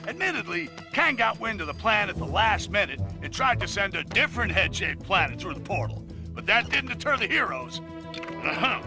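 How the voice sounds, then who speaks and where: A man's voice: high voice, creaky, heavily acted. An actor playing a grumpy, bombastic older male newspaper editor ranting in a news broadcast. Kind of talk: video game voice acting. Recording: game audio with music bed.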